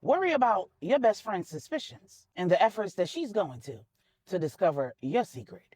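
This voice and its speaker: A woman's voice: fairly low pitch, sing-song, normal speed, darkish tone, husky, dry recording. A woman voicing a cool, cryptic older mother-in-law who speaks in a pointed, imperious way.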